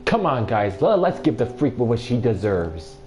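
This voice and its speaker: A loud, sneering village bully boy hurling taunts, as a male narrator voices him. His lines are pitched above the narrator's own, and a faint music bed hums underneath. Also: big pitch swings, normal speed, natural voice. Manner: jeering and taunting.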